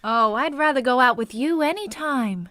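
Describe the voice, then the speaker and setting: A woman's voice: high voice, moderate pace, pushed and bright, no room sound, clean studio sound. A woman playing a sweet, devoted young daughter in a vintage-style radio play. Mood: tender. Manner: sweet, pleading. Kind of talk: 1940s radio acting.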